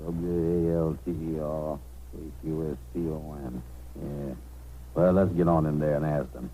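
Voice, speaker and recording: An actor playing a blustery, grumbling, slow-witted taxicab driver with folksy ungrammatical speech in a 1930s radio comedy. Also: male voice, very deep voice, fairly even intonation, dark tone. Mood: uneasy. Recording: noisy 1930s radio broadcast.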